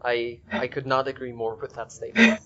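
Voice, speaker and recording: A man with a mid-pitched voice hosts a casual podcast interview. He eases into points tentatively, repeating his first words, and the recording is slightly dull and lo-fi. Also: big pitch swings, fairly quick, sharp tone.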